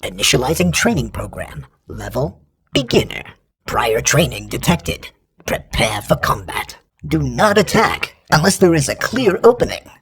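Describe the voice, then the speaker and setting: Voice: medium pitch, a little slow, creaky, slightly rough, exaggerated voice. An actor voicing an emotionless training droid in a video game, giving formal, clipped combat instructions through robotic processing. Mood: stern, procedural. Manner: formal, procedural, deadpan announcements. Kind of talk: scripted video game voice lines.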